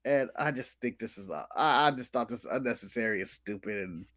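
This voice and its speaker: A man's voice: mid-range voice, a little fast, fairly bright tone. A man co-hosting a casual wrestling recap show, ranting animatedly and laughing at his own jokes. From United States. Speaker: podcast co-host.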